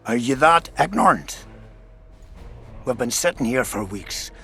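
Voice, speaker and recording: A bitter middle-aged soldier in a fantasy video game. He sounds fatalistic and sharp-edged, mid-pitched, with faint music behind. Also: animated, a little slow, vocal fry, heavily acted. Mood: heated, grim. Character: bitter, despairing middle-aged soldier father pleading for son.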